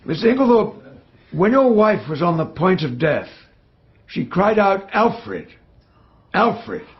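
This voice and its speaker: A man's voice: high-pitched, sing-song, muffled recording, full character voice. A man playing a stern British coroner in a radio drama, questioning formally and pointedly. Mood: probing. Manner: formal, pointed, measured phrasing. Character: stern, probing coroner questioning witnesses at an inquest.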